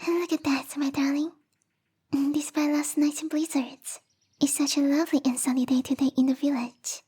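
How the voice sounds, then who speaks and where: A woman voicing a bubbly, lovestruck young female snow spirit in a soft, breathy ASMR roleplay whisper, coy and rambling. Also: high-pitched, little pitch movement, moderate pace, close to an everyday voice. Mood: tender, cheerful.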